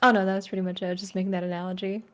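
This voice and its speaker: A woman's voice: medium pitch, flat delivery, rapid-fire. A woman chatting casually as a podcast co-host. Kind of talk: casual chat.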